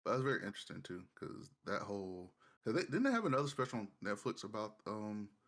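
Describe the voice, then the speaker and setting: A man in casual conversation, halting as he searches for words, with faint noise and clicks on the recording. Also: lowish voice, sing-song, fairly bright tone. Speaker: panelist on a nerd culture show. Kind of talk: casual chat.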